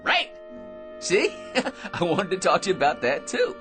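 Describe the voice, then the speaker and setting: Brash male office dreamer: cocky, chuckling, animated delivery, faint music behind, comic radio play. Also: high voice, measured pace, heavily acted. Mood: pitching eagerly. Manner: cocky, nervous chuckling and tag questions. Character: brash, eager, self-deluded male office schemer and barfly. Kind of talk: comic radio drama acting.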